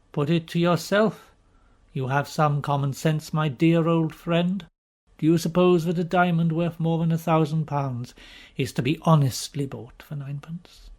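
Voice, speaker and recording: A man voicing a smug, wry gentleman who coolly needles a friend in an audiobook story, with dry, formal phrasing. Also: fairly high pitch, close to an everyday voice. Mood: cool, mocking. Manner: dry, formal, unhurried teasing. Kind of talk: dramatised audiobook character reading.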